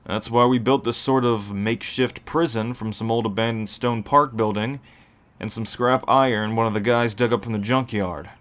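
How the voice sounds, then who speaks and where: A man playing a seasoned, calm werewolf who explains pack ways matter-of-factly in an ASMR roleplay. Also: mid-range voice, normal speed, lightly acted. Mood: grave, explaining.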